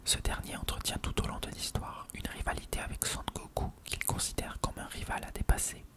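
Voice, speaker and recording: An ASMR reader whispers a scripted text in a hushed, breathy, measured way. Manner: hushed, measured reading of an encyclopedia-style text.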